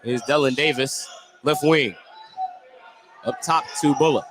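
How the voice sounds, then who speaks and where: A man's voice: mid-range voice, measured pace, crowd noise. A man doing live basketball play-by-play for radio, describing the action in running detail and correcting himself as he goes. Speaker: play-by-play sports commentator. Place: indoor basketball gym. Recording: noisy live gym ambience.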